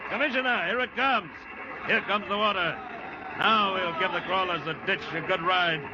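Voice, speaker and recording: An actor playing a confident, stubborn plantation boss in an old-time radio drama, with a forceful, cocksure manner. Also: male voice, high voice, normal speed, close to an everyday voice. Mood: triumphant. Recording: dull, noisy old radio broadcast.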